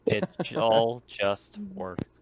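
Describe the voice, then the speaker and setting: Male player, low-leaning pitch, casual tabletop session banter. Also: big pitch swings, slow pace, a little mellow. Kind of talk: casual game-session chat.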